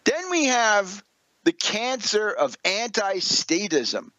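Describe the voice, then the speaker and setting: A man's voice: high-pitched, sing-song, slow, sharp tone, no echo, rough recording. An older American man giving unscripted political radio commentary, lecturing emphatically through his points and checking in with short tag questions. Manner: emphatic, didactic, often tags points with "right?".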